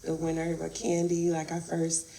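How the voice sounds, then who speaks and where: Gravelly lowish woman from chicago, chatty, faint background noise. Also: completely flat delivery, normal speed, warm tone. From raised in Chicago. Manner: chatty, laughs often. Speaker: guest, event producer. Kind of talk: casual interview.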